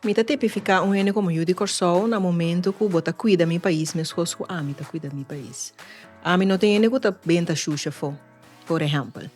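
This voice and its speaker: A woman's voice: lowish voice, fairly quick, darkish tone, faint music behind. A woman with a Curaçao Papiamentu accent, talking earnestly and reflectively in a casual podcast conversation.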